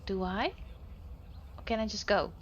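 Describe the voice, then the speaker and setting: A woman's voice: mid-range voice, unhurried, a little hiss or hum. Chatty woman playing a game, lo-fi. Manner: chatty, musing to herself. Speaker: let's play host. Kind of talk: casual gaming commentary.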